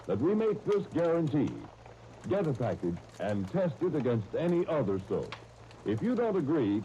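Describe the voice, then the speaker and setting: A male announcer reads scripted copy in 1930s radio style. His voice is very mellow, with lively swings in intonation. The recording is dull and old. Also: fairly high pitch. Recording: muffled, hissy 1930s radio disc.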